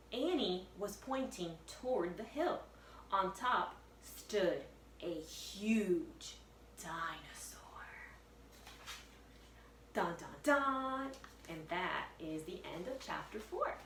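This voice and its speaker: A woman, a first-grade teacher, reading aloud to young pupils with expressive, suspenseful storytelling. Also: fairly low pitch. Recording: echoey room, distant mic, faint noise.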